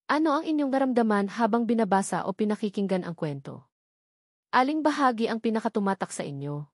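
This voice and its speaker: A woman's voice: a little high, lively intonation, brisk, no room sound, clean studio sound. A woman reads a scripted story in an earnest, sentimental, formal way. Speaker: storyteller narrator. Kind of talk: scripted dramatic story narration.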